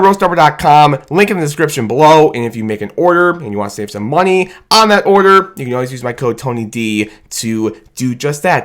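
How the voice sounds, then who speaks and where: A man with a hoarse, rough voice, hyping up his team's win in a fan reaction vlog, shouting and drawling his cheers. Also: on the high side. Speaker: sports fan video host.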